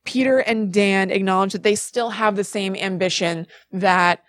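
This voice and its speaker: A woman's voice: mid-range voice, steady tone, normal speed, a bit bright, dry recording. A woman co-hosting a podcast, chatting casually as she explains what she has researched in a conversational way. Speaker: podcast co-host. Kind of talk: casual chat, retelling research.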